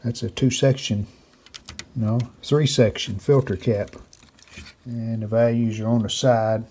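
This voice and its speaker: A man with a mid-pitched voice talks through hands-on repair work in a casual way. He speaks off the cuff in short phrases, thinking aloud as a hobbyist restorer would. The home-video sound carries clear noise. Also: little pitch movement, unhurried, mellow.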